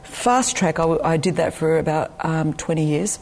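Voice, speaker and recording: A woman's voice: low-pitched, normal speed, darkish tone, a little hiss or hum. A woman in her forties with an Australian accent, Greek her first language, earnestly recounting her life as an interview guest. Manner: earnest, personal, frequent ums. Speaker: ministry leader, guest.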